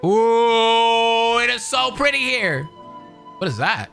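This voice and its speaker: A woman gives casual reaction commentary over a video game. Her voice is bright and very lively in pitch, with playful, slangy interjections and faint music behind her. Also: slow, gravelly.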